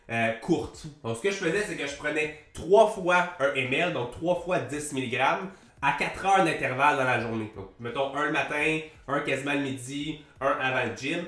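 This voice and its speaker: A young man with a Québécois French accent and a head cold that makes him sound nasal, talking fast and casually to camera as a fitness coach, with the odd swear word. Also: fairly high pitch, reverberant. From Quebec. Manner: fast, animated, swears casually, gestures a lot. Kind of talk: casual vlog talk to camera. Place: small room with a plain grey wall. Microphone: large desk mic on a boom arm.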